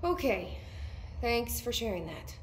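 An actress playing a patient sister in a video game, questioning her troubled brother in a measured, coaxing way. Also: a little high, animated, slight background noise. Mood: patient, guarded.

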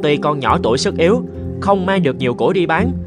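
A man plays a small, plucky boy in a cartoon fairy tale. The voice is high and quick, earnest and defiant, with music underneath. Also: expressive, close to an everyday voice. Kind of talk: animated fairy-tale acting.

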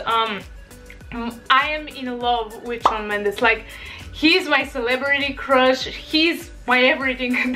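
Bulgarian teen girl rambling to her camera, high voice, lots of ums and giggles, faint music under it. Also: a bit bright. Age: teens. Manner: bubbly, giggly, rambling with fillers. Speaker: vlogger. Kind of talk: casual chat to camera. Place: small bedroom, indoors. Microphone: camera in front.

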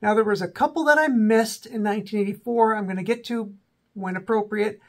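A man in his forties with a cold and a slightly sore, raspy throat, casually talking through rock albums as host of his own channel, with many ums and self-corrections. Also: sits high, a little fast. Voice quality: raspy, voice may crack. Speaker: rock music video host. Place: small indoor room with a backdrop.

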